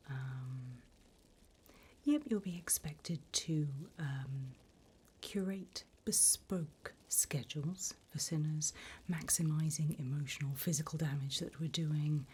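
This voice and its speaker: A woman's voice: deep voice, dark tone, a little hiss or hum. Whispering demon recruiter played by a woman. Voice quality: breathy whisper, mostly unvoiced. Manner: unhurried, polite, dryly businesslike about torment. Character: female demon recruiter, politely bureaucratic, wickedly encouraging. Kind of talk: whispered ASMR roleplay.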